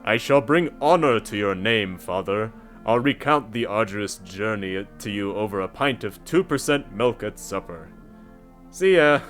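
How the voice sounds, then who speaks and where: A man's voice: on the low side, animated, unhurried, a bit bright, soft background music. A young man acting a sarcastic, wounded son in an audio drama, with a wry, dramatic, mock-formal manner. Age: young adult. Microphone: close studio condenser with pop filter.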